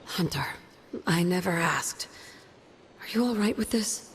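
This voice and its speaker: A ghostly witch in a video game. Her voice is slightly low, earnest and sure of itself, both proud and tender. A faint rumble runs underneath. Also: lively intonation, measured pace.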